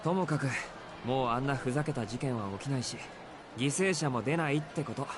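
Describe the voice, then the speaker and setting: A man playing a serious, troubled high school boy in a Japanese visual novel, speaking earnestly and insistently. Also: racing, noisy background, close to an everyday voice. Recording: game audio under loud background music. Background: music.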